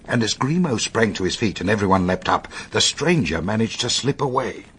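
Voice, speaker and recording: A British storyteller in a radio drama. The voice is deep and heavily husky. He recounts things in an unhurried, conversational way with wry asides. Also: expressive, fairly bright tone, heavily acted. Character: male storyteller recounting an odd tale, chatty, wry.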